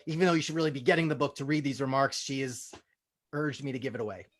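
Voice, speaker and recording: Man hosting a comedy table read, higher-pitched. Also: fast talker. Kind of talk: casual hosting with scripted stage-direction narration.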